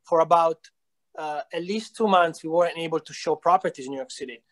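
Brief: thirties business founder, Italian accent, hesitant, pitched slightly high, over a video call. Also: male voice, fairly quick. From Italy. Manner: hesitant, many fillers and restarts. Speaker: real estate company founder. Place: small room indoors.

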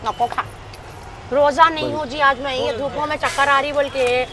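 A woman's voice: sits high, steady tone, moderate pace, crowd noise. A middle-aged woman from Hyderabad with a Hyderabadi accent, chatting brashly and teasing people at a street stall for a comedy vlog, her throat a bit sore. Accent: Hyderabadi Hindi-Urdu. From Hyderabad, India. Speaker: comedy vlogger. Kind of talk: street prank vlog chat. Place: busy city roadside stall, outdoors. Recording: street noise behind.

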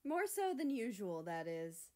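A woman voicing a sassy, sharp-tongued male character in a story, with an overdramatic, scoffing manner. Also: mid-range voice, very animated, measured pace. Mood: teasing. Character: sassy, theatrical male personified country, sharp-tongued friend.

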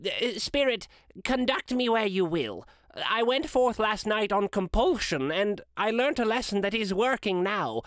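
A man's voice: high voice, animated, unhurried, a bit bright, dry recording, clean studio sound, pitched above the performer's own voice. A man voicing a frightened old miser in a storybook reading, pleading and hesitant. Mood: timid, humbled.

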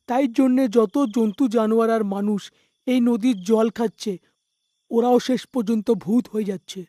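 A performer voicing a calm, all-knowing holy man from a cave in a cartoon, reassuring and explanatory. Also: medium pitch, dry recording, close to an everyday voice. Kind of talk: animated story dialogue.

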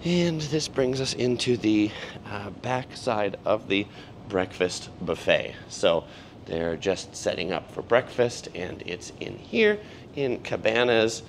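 A man in his forties giving a casual walking tour of a cruise ship, talking in a relaxed way with frequent fillers as he lists what he sees. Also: lowish voice, slow pace, slight background noise. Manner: relaxed, with frequent ums and listing items. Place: aboard a cruise ship, indoor and outdoor decks. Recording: clear music under the voice.

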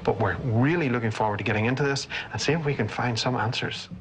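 A man's voice: mid-range voice, fast talker, soft background music. A man talking on location as a paranormal investigator, leading an investigation. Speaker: paranormal investigator, team member on a TV show. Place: on location at an old fortress. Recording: noisy outdoor location sound.

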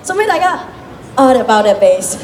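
A young woman from Hong Kong, a singer chatting quickly and casually between songs at a small live venue, laughing as she talks into a handheld mic. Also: fairly high pitch, very animated, background noise. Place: small indoor live music venue, on stage. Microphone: handheld microphone. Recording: roomy live room with loud band.

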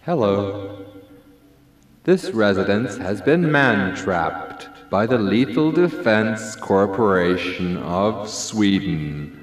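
Automated warning acted by a man: formal, solemn, extremely slow, echoey, faint music. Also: fairly low pitch, big pitch swings. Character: solemn automated home-security warning announcement. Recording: echoey hall-like reverb.

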